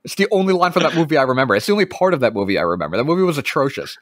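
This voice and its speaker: A man co-hosting a podcast in casual chat, animated and wry, quick to push with questions. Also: on the high side, dry recording, studio quality. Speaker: podcast co-host.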